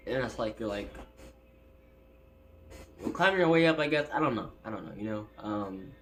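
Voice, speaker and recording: A man's voice: lowish voice, lively intonation, moderate pace. Teen male vlogger, stutters, rambles with fillers, phone-recorded bedroom chat, faint hiss. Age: teens. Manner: rambling, many fillers and restarts. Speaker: YouTuber. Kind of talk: casual vlog chat. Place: his bedroom. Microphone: phone set up in room.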